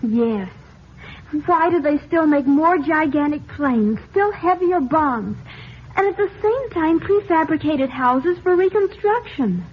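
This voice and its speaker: A woman's voice: high voice, animated, slow pace. An actress playing an earnest, idealistic teenage girl in hiding, in the style of 1950s radio drama. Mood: earnest, questioning. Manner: earnest, questioning, impassioned. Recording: muffled, noisy 1950s radio recording.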